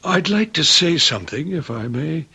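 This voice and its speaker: An actor playing an obsessively devoted ex-commando manservant, middle-aged, deferential and quietly menacing, in a radio mystery drama. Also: male voice, fairly high pitch, very animated, moderate pace, breathy edge, full character voice. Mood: quiet devotion.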